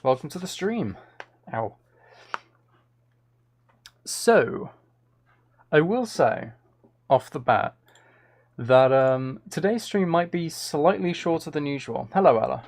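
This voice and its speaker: A man chatting casually as he hosts a live game stream, rambling along and commenting on himself with a lightly wry manner. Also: medium pitch. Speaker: streamer, host. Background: background music.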